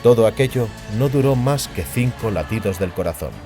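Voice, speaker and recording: A man's voice: on the low side, animated, moderate pace, warm tone. A man narrating fantasy fiction as a dramatic, scripted audiobook. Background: background music.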